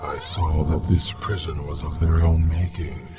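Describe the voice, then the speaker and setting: An actor playing an ancient human necromancer villain in a video game, delivering solemn, theatrical monologues in a grandiose, coldly brooding manner. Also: male voice, rumbling low, flat delivery, loud music behind the voice. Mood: haunted, fervent. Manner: solemn, theatrical, deliberate oratory.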